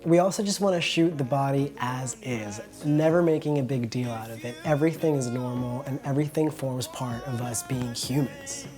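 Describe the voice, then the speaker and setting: A passionate filmmaker talks about their film in a heartfelt documentary voice-over. The voice sits at a medium pitch, with soft music playing underneath. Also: normal speed, hardly any pauses.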